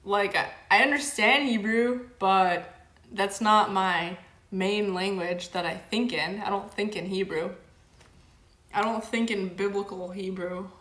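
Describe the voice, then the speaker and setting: A woman's voice: medium pitch, steady tone, a little slow, a bit bright, roomy sound. A young woman hosting a casual livestream, reading chat aloud and rambling with lots of fillers. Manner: rambling, filler-heavy, frequent "like". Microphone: camera mic.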